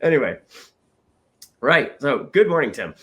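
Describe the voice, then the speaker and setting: A man's voice: medium pitch, measured pace. A man hosting a podcast with a nasal voice, congested from a cold, opening the show and introducing the topic. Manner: upbeat, lively, laughs often. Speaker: podcast host.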